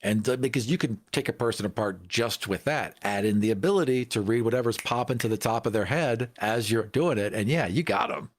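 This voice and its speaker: A man with a somewhat deep voice takes part in a panel chat on tabletop gaming. He speaks informally, as in casual conversation. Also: brisk, hardly any pauses. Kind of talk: casual tabletop game discussion.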